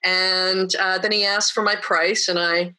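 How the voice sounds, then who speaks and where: A woman with a deeper voice, a podcast guest telling stories in a lively, anecdotal way and mimicking other people when she quotes them. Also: a little slow, clear, resonant tone. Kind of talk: storytelling in a podcast interview.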